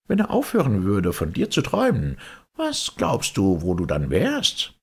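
A man's voice: lowish voice, wildly swooping pitch, unhurried, slightly rough, exaggerated voice. A man voicing a smug, scornful twin from a storybook, triumphant and contemptuous.

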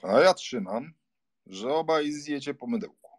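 A man's voice: medium pitch, lively intonation. A man playing a sardonic aristocratic clubman in a dramatized audiobook, offhand and drawling. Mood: smug. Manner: slow, offhand muttering out of the side of the mouth.

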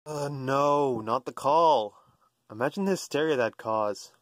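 A man's voice: mid-range voice, measured pace, dry recording, clean studio sound, natural voice. A man voicing a reluctant, pedantic, exasperated sidekick in a comedy cartoon, protesting sarcastically.

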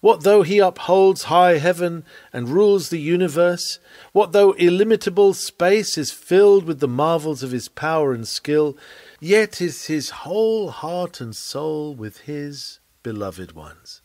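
A man reading an old sermon aloud in an oratorical preaching style, solemn, measured and emphatic. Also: fairly high pitch, lively intonation, darkish tone, dry recording. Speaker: sermon reader.

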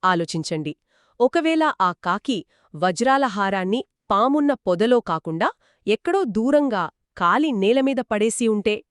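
A woman co-hosting scripted children's storytelling in dialogue, with an expressive delivery. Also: medium pitch, unhurried, no room sound, clean studio sound. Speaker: co-host storyteller.

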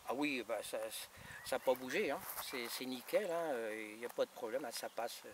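A man's voice: medium pitch, normal speed. A man in his fifties talking casually and repetitively as a radio operator, recorded outdoors by a camera a short way off. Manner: repetitive, colloquial. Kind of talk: casual two-way radio chat. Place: outdoors by a gravel drive in a rural garden. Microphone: camera a metre or two away. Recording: noisy outdoor camera audio with wind.

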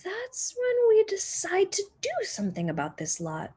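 A young woman, a teacher reading a children's picture book aloud expressively, with deliberate dramatic pauses and emphasis. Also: very high voice, wildly swooping pitch, dark tone. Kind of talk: expressive children's storybook narration.

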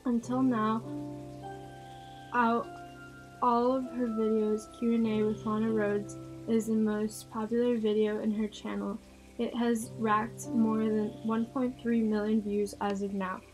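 A woman's voice: a little high, flat delivery, measured pace, a little mellow, rough recording. A woman reading a scripted biographical narration. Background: music.

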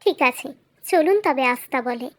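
A woman's voice: sits high, lively intonation, normal speed, fairly bright tone. A woman voicing a small, wise magical talking bird in a children's cartoon fairytale, polite, formal and assured. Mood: polite, insistent.

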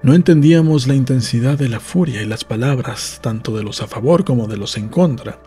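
A man reading a novel aloud as a narrator, in a measured storytelling manner. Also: medium pitch, warm tone, husky, soft background music. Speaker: audiobook narrator. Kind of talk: scripted literary narration, novel read aloud.

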